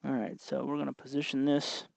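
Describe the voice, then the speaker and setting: A man's voice: medium pitch, level tone, a little fast, vocal fry, no room sound, poor audio. A man hosting a do-it-yourself tutorial, talking informally and instructively as he works with his hands. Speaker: host of a DIY electronics tutorial.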